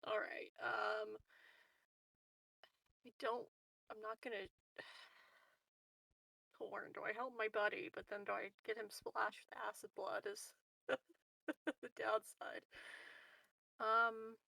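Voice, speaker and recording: A woman's voice: fairly high pitch, little pitch movement, slow pace, creaky, pauses often, pushed and bright, no echo, low-quality recording. A woman chatting casually and playfully as a player in a tabletop roleplaying game. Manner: playful, joking.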